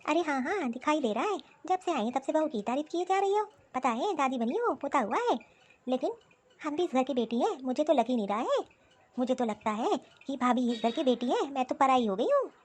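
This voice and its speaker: A sulky, envious grown daughter in a cartoon family story, voiced by a woman. Her high voice is full of sarcasm and complaint, the tone of a scheming sister-in-law. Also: sing-song, a little fast, a little mellow. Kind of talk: animated Hindi family drama dialogue.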